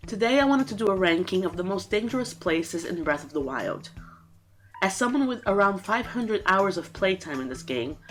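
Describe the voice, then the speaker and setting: A woman's voice: mid-range voice, lively intonation, normal speed, faint music behind. Woman w/ a cold, nasal and stuffed up, earnest scripted gaming vlog voice. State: congested from a cold. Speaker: gaming YouTuber. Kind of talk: scripted ranking narration.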